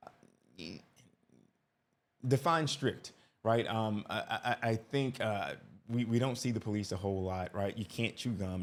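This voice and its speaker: Man, lowish voice, casual reaction commentary, chatty, lots of "right?" tags. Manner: talkative, fills with "right?" and restarts. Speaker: expat vlogger reacting to a video.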